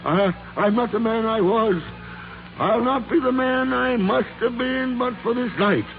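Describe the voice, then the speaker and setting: An actor playing an old miser named for repentance, with halting, exclamatory delivery in a 1940s radio drama. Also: male voice, very high-pitched, vocal fry, heavily acted. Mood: desperate, pleading. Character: frightened, repentant old miser turned giddy with joy. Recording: tinny, band-limited 1940s radio recording.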